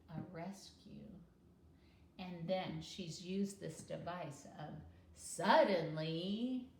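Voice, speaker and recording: A woman doing an expressive children's read-aloud, with deliberate, teacherly explanations as storyteller. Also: fairly low pitch. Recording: roomy echo, faint background noise.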